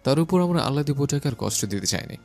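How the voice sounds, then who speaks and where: A woman voicing an injured young husband in an audio story, curt and caring, with a lowered, gravelly male voice. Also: animated, fast, dark tone, faint music behind. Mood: firm, gentle. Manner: firm, curt, scolding tenderly.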